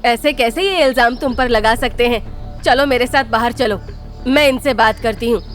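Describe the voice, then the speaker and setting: A woman's voice: on the high side, normal speed, soft background music, natural voice. A woman voicing a protective, resolute mother in a cartoon story, firm and reasoning.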